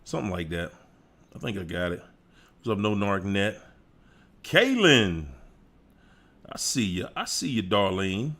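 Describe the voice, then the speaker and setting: A man hosting a relaxed livestream. He talks slowly and lets his lines hang. He hypes up the chat in a playful way, with bursts of whoops and sung bits. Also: on the low side. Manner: playful, hypes up chat, sings snippets and laughs. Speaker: livestream host. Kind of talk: casual livestream chat greeting viewers.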